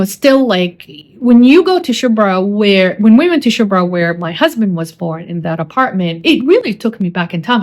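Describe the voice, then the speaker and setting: Woman with an Arabic accent, solo podcast host. Animated and quick, restarts her sentences often. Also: mid-range voice. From Saudi Arabia. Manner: animated, fast-talking with frequent restarts and fillers.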